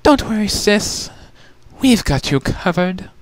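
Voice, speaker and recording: A narrator voicing a confident, smug changeling sister who talks in a brisk, commanding way. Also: mid-range voice, very animated, no room sound, pitched above the performer's own voice. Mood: reassuring.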